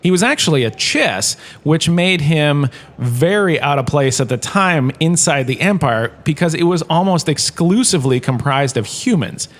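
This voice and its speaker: A man's voice: on the high side, animated, moderate pace, natural voice. A man playing a smug washed-up star who lectures as a history professor, conversational and wry, in a comedic audio drama. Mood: wry, explanatory. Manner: conversational, self-satisfied, wry asides.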